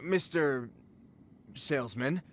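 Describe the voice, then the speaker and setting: A man's voice: mid-range voice, slow, slight background noise, close to an everyday voice. An actor playing a nervous young male worker in a video game, polite, hesitant and stammering as he placates. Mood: nervous, placating.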